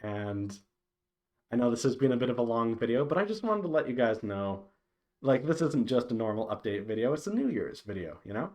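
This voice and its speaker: A man giving a casual, unscripted update to viewers, thinking aloud in a hesitant, meandering way with halting pauses. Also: mid-range voice, big pitch swings, a little mellow. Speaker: visual novel developer giving a devlog update.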